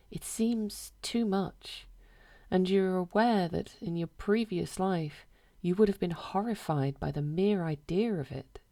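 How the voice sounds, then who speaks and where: A woman reading a literary short story aloud as narrator, in a measured, deadpan way. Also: on the low side, gravelly.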